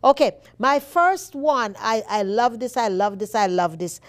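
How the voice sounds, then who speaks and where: Woman, Jamaican accent, show host, unhurried, emphatic repeats, higher pitch. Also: lively intonation. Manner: slow, deliberate reading with emphatic repeats. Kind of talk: reading inspirational quotes on a show.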